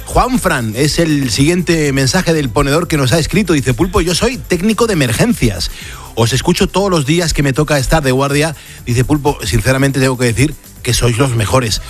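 A man's voice: fairly high pitch, normal speed, faint music behind. A man with an accent from Spain hosting a radio show, reading listener messages in an effusive, affectionate manner. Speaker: radio host.

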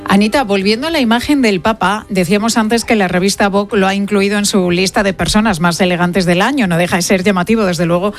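A woman's voice: mid-range voice, fast talker, hardly any pauses, faint music behind. A woman from hosting live radio commentary, chatty with hesitant fillers. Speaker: radio host. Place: stadium broadcast position.

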